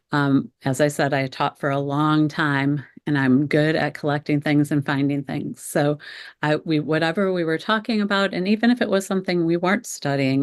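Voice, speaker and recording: A woman's voice: sits low, no room sound, studio quality. A middle-aged American woman teacher presenting an educational webinar in a conversational, explanatory way, speaking directly to her viewers.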